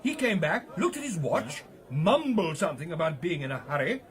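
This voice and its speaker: An actor playing a boastful, generous English gentleman golfer in a radio drama, jovial and bossy with old-fashioned clubbish phrasing. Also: on the high side, big pitch swings, moderate pace. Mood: gossipy, indignant. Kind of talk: period radio drama acting.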